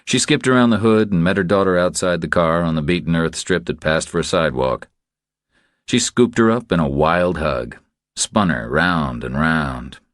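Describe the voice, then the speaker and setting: A man's voice: low voice, dry recording, clean studio sound. A man narrating a thriller audiobook from a script.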